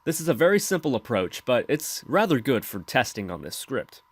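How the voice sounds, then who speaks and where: A man's voice: mid-range voice, very animated, normal speed, slightly rough, no echo. Male tutorial narrator, instructional scripted read, dry close-miked sound. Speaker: tutorial host.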